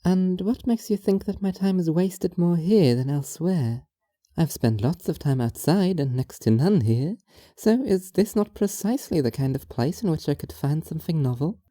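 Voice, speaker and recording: A woman playing a strange, earnest young philosopher in an audio drama, talking in a formal, measured, literal way. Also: low-pitched, expressive, mellow, no room sound, clean studio sound. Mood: earnest, questioning. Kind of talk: audio drama monologue and dialogue.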